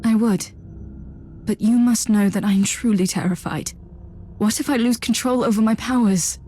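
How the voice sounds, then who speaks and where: A woman's voice: a little high, normal speed, dark tone, close to an everyday voice. An actress playing a guarded, troubled young woman in a dramatic video game, speaking earnestly. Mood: anxious. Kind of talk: scripted video game voice acting. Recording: music behind the voice.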